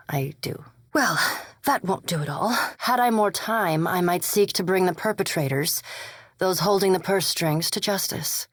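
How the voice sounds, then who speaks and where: A woman playing a coolly polite, scholarly vampire animancer in a video game, speaking in a measured, formal and precise way. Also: lowish voice, breathy edge, no room sound, clean studio sound, full character voice. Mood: dry, composed. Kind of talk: video game character dialogue.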